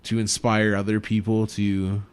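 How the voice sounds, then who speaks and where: A man's voice: lowish voice, monotone, measured pace, clear, resonant tone. A young adult man co-hosting a podcast, joking and laughing a lot in casual chat. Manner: joking, laughs often, swears. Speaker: podcast co-host.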